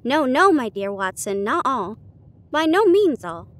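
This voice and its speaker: A woman voicing a sharp, confident male detective with a precise, formal, condescending manner in an audiobook. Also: sits high, very animated, a little slow. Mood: analytical.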